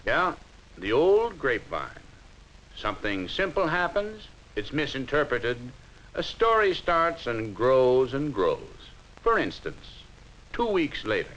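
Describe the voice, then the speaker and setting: A man's voice: medium pitch, very animated, takes it slowly, fairly bright tone. An American man playing a wry, knowing narrator in a folksy 1950s instructional film, on a muffled, hissy soundtrack.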